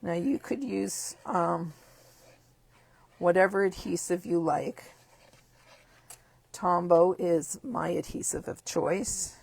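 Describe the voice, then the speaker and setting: A hoarse woman with a croaky voice, chatting upbeat through a card-making tutorial step by step. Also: fairly low pitch, takes it slowly, long pauses, a little mellow, dry recording. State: hoarse, losing her voice. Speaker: craft demonstrator.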